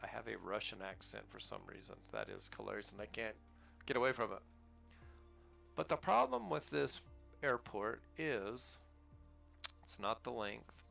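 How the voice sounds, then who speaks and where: A man's voice: on the low side, very animated, moderate pace, gravelly, pauses often, sharp tone, soft background music. An American man casually commenting on his flight simulator gameplay in a rambling, easygoing way, chuckling at himself now and then. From United States. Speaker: flight sim gaming channel host.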